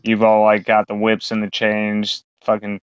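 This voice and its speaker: A man with a low, smooth voice rambles through a casual podcast chat, crude and heavy on fillers. He sounds close and dry. The recording is dull and lo-fi. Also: monotone, unhurried, no echo. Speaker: podcast co-host.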